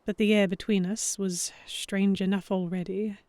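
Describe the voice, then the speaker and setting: A woman playing an earnest, anxious young heroine, narrating a dramatic story in the first person with measured expression. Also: mid-range voice, little pitch movement, a little mellow, no echo, close to an everyday voice. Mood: introspective. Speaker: narrator and lead character.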